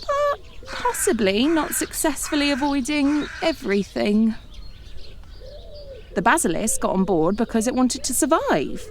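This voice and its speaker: An exasperated woman detective in a comedy radio play, her high voice swinging widely in pitch. She is nervy, argumentative and insistent. There is faint noise behind her. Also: big pitch swings, unhurried. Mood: wry, subdued narration. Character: frightened, argumentative woman turned amateur detective aboard the Ark. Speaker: lead actor and narrator. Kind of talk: comedy audio drama acting.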